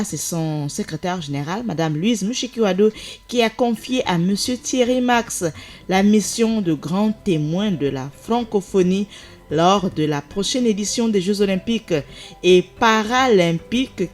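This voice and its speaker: A woman presenting a live radio show, talking briskly and chattily and sometimes correcting herself. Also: mid-range voice, a little mellow.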